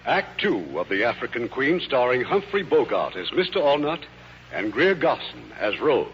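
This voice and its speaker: A man's voice: mid-range voice, sing-song, normal speed, slight background noise. A man hosting 1950s radio theater, giving scripted dramatic narration as producer and narrator. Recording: dull old radio broadcast.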